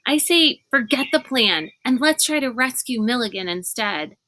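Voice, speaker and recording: Woman voicing a bold young girl, raised bright pitch. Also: lively intonation, moderate pace, no room sound. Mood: impatient. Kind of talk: children's storybook read-aloud.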